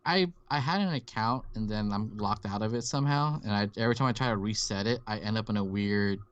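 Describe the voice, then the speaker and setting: A man's voice: fairly low pitch, fast, muffled recording. A man from Dallas, a guest artist chatting casually on a live drawing stream, rambling and swearing now and then. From Dallas, Texas.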